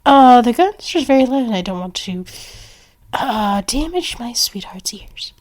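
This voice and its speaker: A woman's voice: on the high side, lively intonation, unhurried, darkish tone. A woman performing an acted ASMR roleplay.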